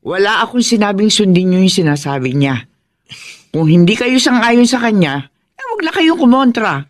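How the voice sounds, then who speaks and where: A Filipino actress playing a concerned, conciliatory mother of grown children, gently chiding in a radio drama. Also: medium pitch, big pitch swings, normal speed, close to an everyday voice.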